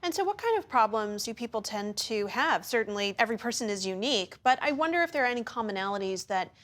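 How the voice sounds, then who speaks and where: A woman news correspondent reading a scripted report and interviewing a guest in a measured, even way. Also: on the high side.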